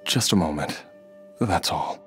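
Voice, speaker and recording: An actor playing an ailing, devoted husband in a video game, earnest, halting and resigned. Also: male voice, low voice, expressive. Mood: sorrowful. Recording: music playing behind.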